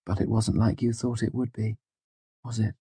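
A male narrator plays a worldly storybook woman, lifted well up in pitch and husky. She is matter-of-fact and arch, scolding hard but caring underneath. The sound is close and dry. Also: fairly even intonation, moderate pace, mellow, no echo. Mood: exasperated. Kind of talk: audiobook character dialogue.

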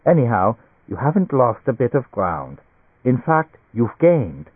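A man voicing an excitable, fussily reassuring German doctor in an audiobook story, effusive, with broken English sprinkled with German words. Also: medium pitch, a little slow, breathy edge, no echo. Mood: eager, gushing. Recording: tinny band-limited radio-like sound.

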